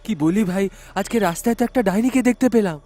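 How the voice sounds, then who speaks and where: A man's voice: sits high, fast talker, dark tone, soft background music. A man playing a jumpy, superstitious autorickshaw driver in a folktale, exclamatory and given to muttering to himself. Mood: shaken, relieved.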